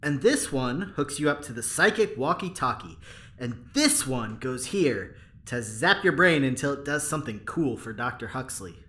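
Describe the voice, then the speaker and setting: A man's voice: mid-range voice, very animated, normal speed, sharp tone. A man playing a flippant, wisecracking lab engineer in an audio drama, with a playful way of talking. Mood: playful, teasing. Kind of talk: scripted audio drama dialogue.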